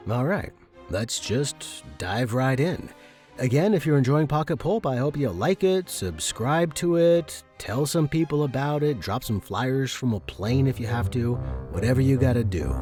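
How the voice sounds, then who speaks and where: Animated man narrating fiction. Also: medium pitch. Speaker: host and narrator of a fiction podcast. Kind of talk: casual podcast intro and first-person story narration.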